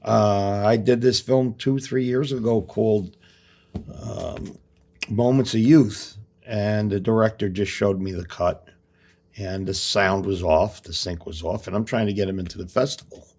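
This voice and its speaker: Gravelly, husky-voiced man in casual talk. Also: fairly low pitch, fairly even intonation, unhurried, a little mellow. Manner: earnest, speaks in life lessons. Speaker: actor guest. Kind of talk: casual podcast chat.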